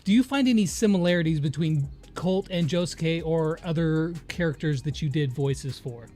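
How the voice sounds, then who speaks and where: A man's voice: a little high, moderate pace, warm tone. A man hosting a casual, conversational interview. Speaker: interview host.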